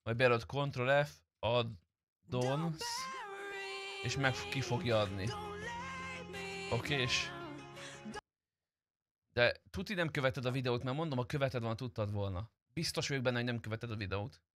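A man's voice: mid-range voice, pauses often, music in the background. A man chatting casually on a game stream, informal and teasing, with some swearing. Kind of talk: casual live-stream chat during gameplay.

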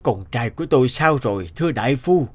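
A man's voice: medium pitch, lively intonation, fast, natural voice. A man playing a grieving, upright old official and devoted father in a cartoon, with an emotional, imploring manner. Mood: alarmed. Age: older. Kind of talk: animated cartoon dialogue.

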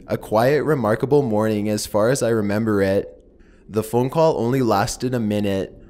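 A man narrating horror stories in a measured, somber, deliberate manner as the host of his channel. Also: fairly low pitch, a little mellow. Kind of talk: scripted horror story narration.

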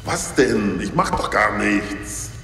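A man's voice: on the low side, lively intonation, slow pace, vocal fry, sharp tone, a little hiss or hum, exaggerated voice. An actor playing a grumbling, complaining adult male sidekick. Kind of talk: audio drama acting. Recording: music bed and long reverb.

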